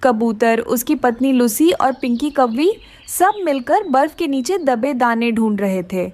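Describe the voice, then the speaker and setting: A woman narrates a scripted moral tale for children. Her voice is high in pitch, in the manner of a cartoon storyteller. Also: animated, unhurried, darkish tone, dry recording.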